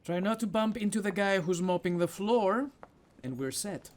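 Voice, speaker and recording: A man's voice: high-pitched, lively intonation, normal speed. A man doing casual game commentary, playful, with muttered asides and exclamations. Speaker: gaming video host.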